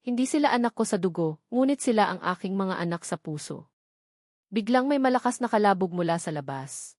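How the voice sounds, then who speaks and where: A woman narrates a romantic drama in the first person, in a scripted storytelling style. Her voice sits at a medium pitch, and the sound is very dry with hardly any room on it. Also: expressive, a little fast, a little mellow, dry recording.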